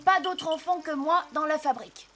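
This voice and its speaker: A woman voicing an earnest, expressive young orphan boy, an apprentice Santa in a children's cartoon. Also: high voice, normal speed, soft background music. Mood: firm, apologetic.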